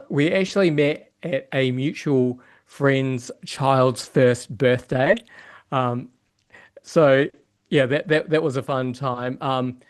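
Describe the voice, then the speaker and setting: Middle-aged New Zealand man, mid-pitched, podcast guest, conversational, feeling his way through sentences. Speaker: podcast guest, software developer and yoga teacher. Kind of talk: casual interview chat.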